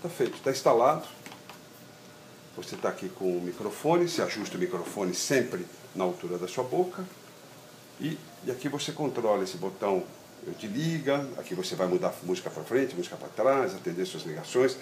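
A middle-aged Brazilian man with a fairly low voice talks through a practical demonstration. He goes step by step in an informal, halting way, repeating words and checking that he is followed. The sound is echoey, with faint ambient noise. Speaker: product demonstrator. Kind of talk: informal hands-on tutorial, explaining step by step. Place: outdoor patio table in a garden. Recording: roomy echo, steady ambient noise.

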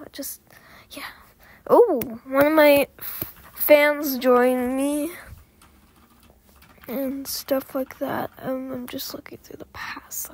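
A young girl hosting a gaming video, sick and a little off-voice, chatting hesitantly and repeating words as she plays. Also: high voice. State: sick, voice sounds off. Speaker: gaming channel host. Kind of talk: casual gameplay commentary.

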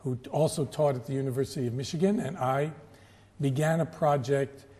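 Older man, mellow storytelling voice. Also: mid-range voice, steady tone, big-room sound. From United States. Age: 70s. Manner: measured, storytelling, occasional self-correcting restarts. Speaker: guest historian. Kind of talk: relaxed studio interview. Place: TV studio. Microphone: lapel.

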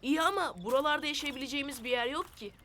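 A woman voicing an earnest, helpful young boy hero in an animated children's series. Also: high voice, very fast, slight background noise.

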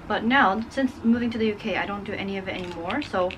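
A woman's voice: mid-range voice, fast talker, noisy background. A woman in her twenties, a native Portuguese speaker, chatting casually to the camera in her bedroom, rambling with lots of fillers. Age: 20s. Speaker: vlogger. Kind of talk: casual vlog chat. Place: bedroom at home. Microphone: camera on a desk in front.

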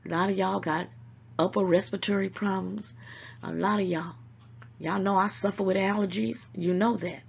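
A woman's voice: mid-range voice, steady tone, normal speed, creaky, breathy edge, highs cut off. An older woman hosting an online talk show, scolding and preaching to her viewers and calling them baby, at times a little congested. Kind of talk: casual commentary to viewers.

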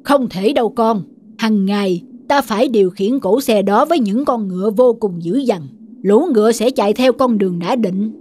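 A woman's voice: medium pitch, warm tone, a little hiss or hum, lightly acted. A woman voicing a proud, protective sun god father in a cartoon, speaking formally and solemnly. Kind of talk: dubbed mythological cartoon acting.

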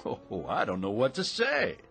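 A sly, mysterious stranger. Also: male voice, medium pitch, huge pitch swings, unhurried, gravelly, fairly bright tone, full character voice. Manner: sly, dry, teasing. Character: calm mysterious man, sly and confident. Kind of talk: radio drama acting. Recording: radio play with music underneath.

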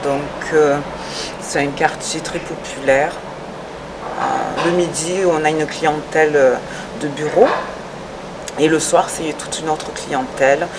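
A woman in her thirties explaining her project in a conversational interview, pausing often between short phrases. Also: low-pitched, steady tone. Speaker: restaurant owner. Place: restaurant terrace, dining room and working kitchen. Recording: distant, echoey, loud background noise.

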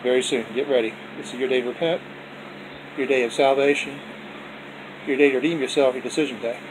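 A man's voice: medium pitch, steady tone, fairly quick, noisy background. A man hosting a casual home video showing his dinner, talking in a folksy, repetitive way and closing with religious blessings. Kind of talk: casual off-camera food vlog. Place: indoors at home, dining table.

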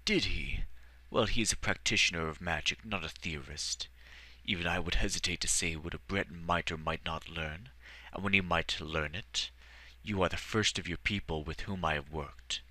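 A man voicing a wise old elf mage who speaks in a measured, formal and gentle way. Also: deep voice, heavily acted. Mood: calm.

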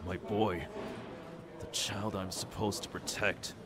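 A man voices a worried, kindly middle-aged mentor from an anime dub. His voice sits low, earnest and halting. A bustle of people is heard behind him. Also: little pitch movement, over a noisy crowd. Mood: worried, sorrowful. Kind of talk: anime comic dub acting.

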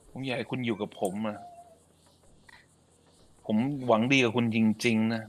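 A man's voice: medium pitch, little pitch movement, measured pace, close to an everyday voice. A man playing a possessive, brooding male captor in a hammy melodrama. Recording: noisy background.

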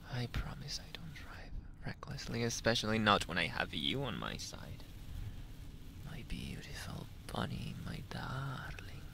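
A man's voice: medium pitch, full character voice. Man playing a whispering, possessive yandere lover boy. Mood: tender, reassuring. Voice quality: breathy, whispery, creaky. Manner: slow, lingering, teasing endearments with soft chuckles. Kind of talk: ASMR roleplay, intimate whispered monologue.

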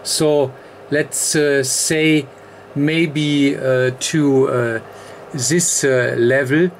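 A man with a non-native English accent giving an unscripted technical explanation as a tutorial presenter, haltingly, with very frequent ums and uhs. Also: medium pitch, steady tone. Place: indoor electronics workbench. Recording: clear background noise.

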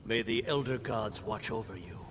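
An actor playing a solemn thunder god, desperate to protect Earth, in a formal, declamatory video game style. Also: male voice, lowish voice, moderate pace, close to an everyday voice. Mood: grave, resolute. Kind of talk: dramatic video game cutscene acting. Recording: game cutscene with music underneath.

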